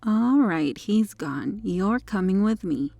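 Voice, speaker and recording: A woman playing a possessive superheroine with a hidden dark obsession in an ASMR roleplay, her manner controlled and teasing. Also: medium pitch, expressive, slow, creaky, soft background music. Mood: smug, possessive, teasing. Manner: smug, flirtatious, controlled.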